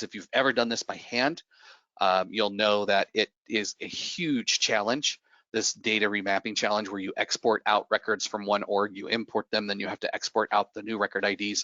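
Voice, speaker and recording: A man getting over a cold talks through a product demo, his voice a little raspy and his lower-pitched tone pushed and bright. He fills gaps with "uh" and "you know." The sound is dry and a bit dull, like a video-call mic. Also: no echo. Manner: explanatory, many fillers and restarts. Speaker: software presenter. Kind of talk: product presentation on a webinar.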